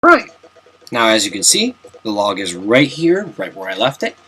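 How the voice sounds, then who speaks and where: A man's voice: fairly low pitch, huge pitch swings, moderate pace, a bit bright, a little hiss or hum. Chatty young man narrating gameplay. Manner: chatty, self-mocking, jokey asides. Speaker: let's play commentator. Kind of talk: casual gameplay commentary.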